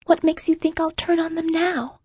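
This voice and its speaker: An actress playing a poised, skeptical film star with a pert Cockney accent in dramatized audiobook dialogue. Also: female voice, very high-pitched, little pitch movement, normal speed, darkish tone, no room sound, studio quality. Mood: unsteady, defiant. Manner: pert, challenging.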